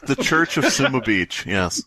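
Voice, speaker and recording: A man's voice: mid-range voice, big pitch swings, measured pace, pushed and bright, no room sound. A man co-hosting a podcast, bantering casually and irreverently and acting out his jokes. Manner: irreverent, jokey. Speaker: podcast host. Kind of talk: casual comedic banter with acted quips.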